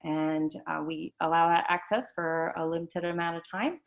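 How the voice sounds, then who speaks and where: A woman supervisor giving an online presentation, speaking slowly with many ums and uhs. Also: on the low side, fairly even intonation, narrow, tinny audio. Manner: slow, halting, many fillers. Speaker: learning center supervisor.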